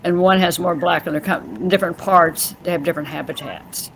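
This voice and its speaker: A woman's voice: lowish voice, brisk, gravelly, over a noisy crowd. A woman joining a group video chat, explaining in detail with fillers and self-corrections while her voice turns scratchy. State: voice going scratchy. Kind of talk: casual chat.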